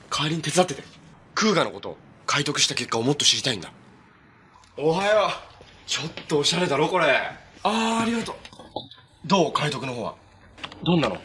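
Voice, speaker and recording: An upbeat young hero from a TV superhero drama, with a bright, high voice that moves in lively swings. He comes across as earnest, boyish and kind-hearted. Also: brisk, long pauses, a little hiss or hum. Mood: cheerful, casual. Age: young adult. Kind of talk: live-action TV superhero drama acting.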